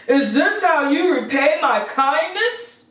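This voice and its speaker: A woman's voice: high voice, sing-song, takes it slowly, fairly bright tone, voice pushed up, full character voice. A woman voicing a terrifying, angry male beast in a storybook, commanding and menacing, in an echoey recording. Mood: furious. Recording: echoey room, far from the mic.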